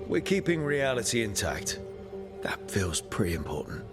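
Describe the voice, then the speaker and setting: A man's voice: lowish voice, big pitch swings, moderate pace, over music. An actor voicing an easygoing young male adventurer hero in a fantasy video game, with a casual, dryly humorous delivery. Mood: relaxed, wry. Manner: casual, dry humour.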